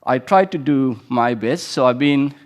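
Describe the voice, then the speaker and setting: A man's voice: mid-range voice, lively intonation, measured pace. A man in his thirties from Sri Lanka, giving an informal conference talk with frequent restarts and fillers. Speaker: conference speaker.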